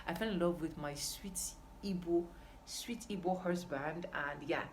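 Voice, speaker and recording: Young nigerian lady vlogging, lowish voice, chatty n emphatic, bit of background noise. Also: measured pace. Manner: emphatic, repeats phrases for stress. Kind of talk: casual chat to camera.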